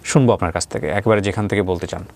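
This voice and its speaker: A man's voice: deep voice, very fast, darkish tone, a little hiss or hum. A man with a Bangladeshi accent hosting a conversational interview, asking short probing questions and echoing answers back. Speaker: interviewer and host.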